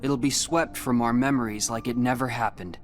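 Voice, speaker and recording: A man voicing a calm, sincere, dutiful teenage boy in an animated video game. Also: mid-range voice, moderate pace, faint music behind, lightly acted.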